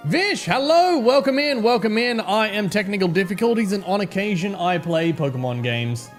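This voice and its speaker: A man with an Australian accent and a slightly hoarse voice, chatting casually to viewers on a live game stream and greeting them by name. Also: high voice, lively intonation, moderate pace, soft background music. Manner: chatty, greets viewers by name, fretting over technical trouble.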